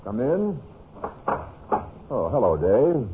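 An actor playing an insistent, falsely friendly American businessman with quiet menace in an old radio drama. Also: medium pitch, very animated, slow pace. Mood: confiding. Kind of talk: 1940s-50s radio drama acting. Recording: muffled, hissy vintage radio recording.

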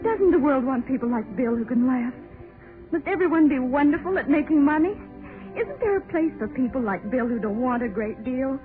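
Earnest high-voiced young bride. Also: female voice, lively intonation, fairly quick, music in the background. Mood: anguished pleading. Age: young adult. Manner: breathless, emphatic, sentimental. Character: adoring, earnest young bride, tender and emotional. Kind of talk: 1930s radio drama acting. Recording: thin, muffled 1930s radio recording.

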